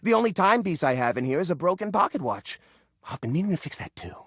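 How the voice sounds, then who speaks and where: Animated, fussy junk-drawer curator. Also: male voice, sits high, quick pace, no echo, clean studio sound, full character voice. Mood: wistful, dejected. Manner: flustered, stammering, theatrical. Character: fussy, nervous personified junk drawer, sentimental male hoarder-curator. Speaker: video game character voice actor. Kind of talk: animated video game character acting.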